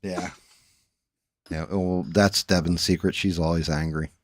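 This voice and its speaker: A man's voice: deep voice, steady tone, measured pace, no echo, clean studio sound. A man hosting a casual livestream chat, blunt and swearing freely, often restarting his sentences. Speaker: livestream host. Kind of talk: casual chat while 3D printing and painting.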